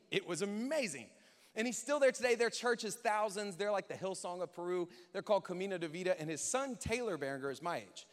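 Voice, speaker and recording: A man preaching a contemporary, conversational sermon as a pastor, speaking emphatically and informally with rhetorical questions and repetition. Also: sits high. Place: large church hall, speaking to an audience. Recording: echoey hall with long reverb.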